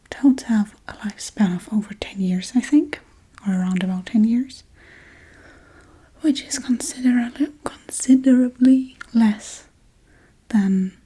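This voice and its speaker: A woman voicing a playful, forgetful, menacing witch in a hushed, coaxing, whispery ASMR role-play. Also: fairly high pitch, rough recording. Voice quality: breathy, whispery.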